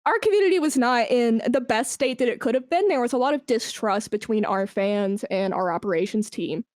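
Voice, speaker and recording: A woman chatting casually as an interview guest, telling work stories in a candid, slangy, animated way. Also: fairly high pitch, a little fast, dry recording, studio quality. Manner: candid, animated storytelling with slangy asides and acted-out quotes. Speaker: esports brand manager, podcast guest.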